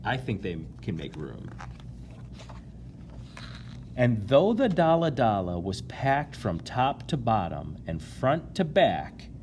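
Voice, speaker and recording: A man reads a picture book to children with very lively, changing intonation. He takes his time, stretching key words playfully and turning to chat with his listeners. There is faint noise behind his voice. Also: medium pitch, slow, pauses often. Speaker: storyteller reading a children's picture book aloud. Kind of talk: animated read-aloud to children, with asides to listeners.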